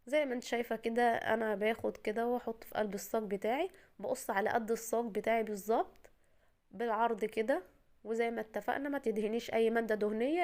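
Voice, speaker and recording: A woman from Egypt with an Egyptian Arabic accent, her voice tired from a cold, chattily and reassuringly talking the viewer through a recipe as a home cooking host. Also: on the high side. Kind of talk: casual step-by-step cooking tutorial.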